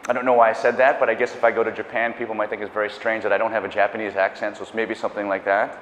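A man's voice: lowish voice, very fast, slight background noise. Middle-aged man lecturing in an echoey hall. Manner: enthusiastic, animated gestures, pacing the stage; conversational with asides and self-corrections. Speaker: math lecturer. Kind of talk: public math lecture. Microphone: lapel mic.

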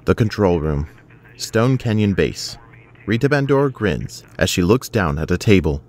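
A man narrating an audio drama, reading scripted scene descriptions in a measured way. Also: low voice, sing-song, darkish tone.

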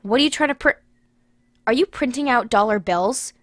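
A woman's voice: on the high side, normal speed, no echo, studio quality, close to an everyday voice. A woman playing an incredulous, scolding young girl in an audio drama.

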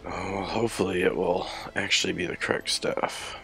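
A sick man with a scratchy, rough voice commentates his game in a slow, low-key way. His voice is very deep and heavily gravelly, yet bright and forceful in tone. Game music plays faintly behind him. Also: fairly even intonation. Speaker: gameplay commentator. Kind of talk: casual gameplay commentary.

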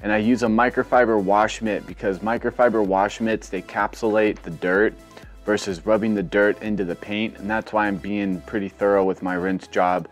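A man casually narrating a how-to car wash video, relaxed and full of fillers. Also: lowish voice, normal speed, soft background music. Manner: relaxed, rambling, filler-heavy explaining. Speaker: car detailing vlogger. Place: outdoor car wash bay.

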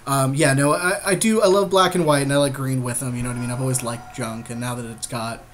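A man's voice: medium pitch, steady tone, fast, slight background noise. A man co-hosting a game session in casual chat, conversational and informal, often adding asides. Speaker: podcast co-host playing a card game.

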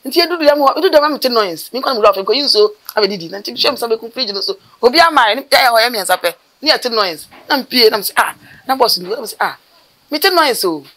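Middle-aged Ghanaian woman, mortuary worker; rapid, animated storyteller, recorded outdoors on camera. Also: a little high. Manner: animated, gesturing with her hands, rapid bursts of speech. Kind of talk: storytelling interview. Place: outdoors by a building wall.

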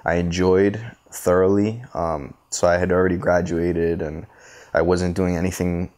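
A man, giving reflective interview answers in a halting, thoughtful way. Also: deep voice, flat delivery, a little mellow. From Costa Rica, later United States. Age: 20s. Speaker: interview guest, photographer and visual artist. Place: living room, indoors on a sofa. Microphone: clip-on lapel mic.

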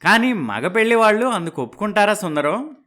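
Casting: man for worried bride's father, middle-aged, hesitant, lively intonation, moral-story dialogue. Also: high-pitched, fairly quick, full character voice. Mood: anxious.

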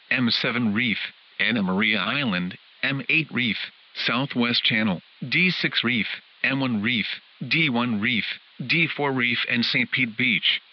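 A man's synthetic text-to-speech voice reading a scripted emergency weather bulletin in a formal, clipped way, as a radio alert announcer. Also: medium pitch. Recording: hissy, muffled weather radio broadcast.

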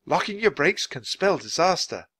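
A knowledgeable biologist , voiced by a male narrator, speaking in a raised, very animated pitch. The character explains dangers with relish for a children's story. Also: normal speed, husky, no room sound, exaggerated voice. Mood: vivid warning.

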